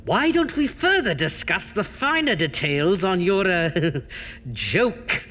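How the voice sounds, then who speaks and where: A man playing a vain, prickly undead horror host, hammy and halting in the style of a campy radio horror show. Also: high voice, sing-song, pushed and bright, full character voice. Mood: catty, showy. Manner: hammy, halting, drawn-out showman delivery.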